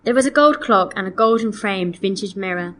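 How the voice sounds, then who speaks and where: A woman playing a polite customer on a complaint call, reading scripted dialogue in a measured, clearly enunciated way. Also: mid-range voice, a bit bright, close to an everyday voice. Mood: polite, matter-of-fact. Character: polite woman customer phoning to report missing, damaged antiques. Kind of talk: scripted listening-test dialogue.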